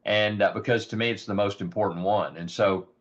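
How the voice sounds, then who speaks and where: A man with a raspy, hoarse voice giving an earnest informal presentation on a rural health program, with many ums and repeated words. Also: lowish voice, fairly even intonation, brisk. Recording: dull lo-fi audio.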